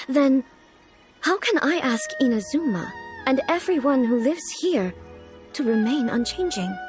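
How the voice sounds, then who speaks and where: An actress playing a solemn, ageless ruler in a video game, speaking in a formal, measured, pondering way. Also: female voice, on the high side. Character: solemn, regal, ageless female ruler, earnest and contemplative. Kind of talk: video game character acting. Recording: soft music behind.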